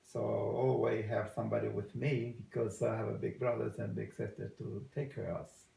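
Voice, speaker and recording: Gravelly, halting man from El Salvador. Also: fairly low pitch, flat delivery, warm tone, roomy sound. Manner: halting, many uh fillers, non-native English. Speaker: interviewee. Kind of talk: casual family interview.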